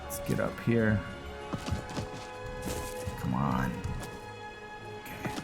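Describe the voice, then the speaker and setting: A man hosts a role-play gaming series in a deep voice at an unhurried pace. He muses casually to himself, with game music clearly behind him. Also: huge pitch swings. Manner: laid-back, musing, talks to himself. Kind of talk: casual let's play commentary.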